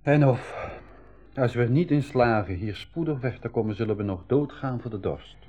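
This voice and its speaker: A man's voice: mid-range voice, expressive, normal speed, a little mellow, natural voice. A man with a Flemish accent playing a gloomy young brother who also narrates the adventure, in a 1980s school radio drama. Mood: gloomy, eager. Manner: pessimistic.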